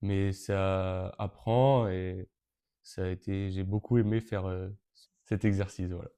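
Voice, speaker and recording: Young man from Brittany, late teens, flat low delivery, halting with many restarts. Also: clear, resonant tone. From Brittany, France. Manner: hesitant, frequent fillers and restarts. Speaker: interviewee, event co-organizer. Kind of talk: casual interview answers.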